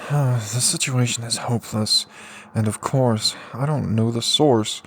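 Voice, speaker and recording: A man's voice: mid-range voice, unhurried, fairly bright tone, slightly rough. A man voicing a frustrated, self-mocking young man in an audiobook, muttering and complaining. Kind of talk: audiobook character reading.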